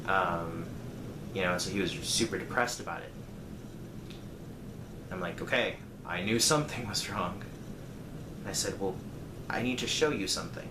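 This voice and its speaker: A man's voice: low-pitched, normal speed, long pauses. A man telling a personal story casually to viewers, acting out bits of dialogue as he goes. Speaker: storyteller vlogger. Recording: roomy echo, clear background noise.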